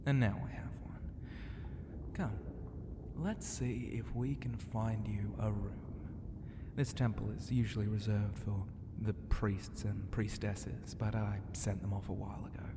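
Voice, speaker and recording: A man voicing a flirtatious, regal sea dragon ocean god in an ASMR roleplay, teasing and grandly courteous, often dropping into a breathy whisper. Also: on the low side, natural voice. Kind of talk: ASMR roleplay addressed to the listener. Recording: long cavernous reverb, clear ambient noise.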